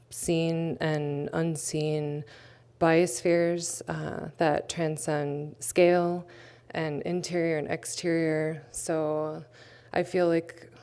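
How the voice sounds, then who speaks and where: A woman's voice: sits low, mellow, smooth. A woman artist talking casually about her work, hesitant and full of fillers. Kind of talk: livestream artist talk.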